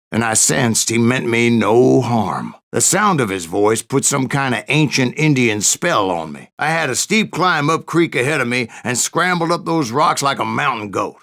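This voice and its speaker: A man playing a grizzled old American gunslinger in a video game, telling tall tales with a folksy, wry, gravelly drawl. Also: mid-range voice, a bit bright. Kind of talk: video game character narration.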